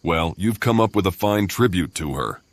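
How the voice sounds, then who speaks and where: An actor playing a gruff, stoic male adventurer in a fantasy game, curt and matter-of-fact. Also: deep voice, lively intonation, moderate pace. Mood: gentle.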